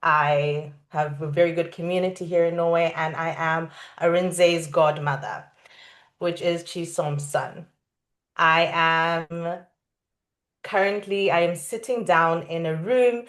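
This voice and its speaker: Woman, yoga teacher, low-ish voice, earnest, seated indoors, video call. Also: fairly even intonation, slow. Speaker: yoga teacher, panel guest. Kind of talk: online panel introduction. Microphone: video call, connection breaking up.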